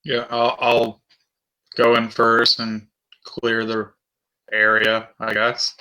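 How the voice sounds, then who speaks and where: A man's voice: medium pitch, completely flat delivery, slow pace, sharp tone, poor audio. A man chatting casually as a player in a tabletop role-playing game.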